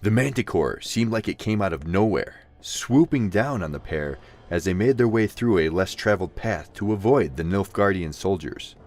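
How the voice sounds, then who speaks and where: A man's voice: sits low, lively intonation, moderate pace, hardly any pauses, a little mellow. A man narrating a scripted fantasy audio drama in a dramatic storytelling style.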